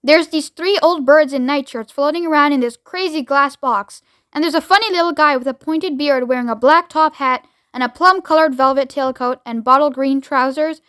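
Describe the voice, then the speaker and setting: A woman's voice: high voice, normal speed, hardly any pauses, a bit bright, no room sound, clean studio sound. A woman voicing a flustered, cheeky male astronaut in a children's audiobook, blurting things out with emphasis. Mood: astonished reporting.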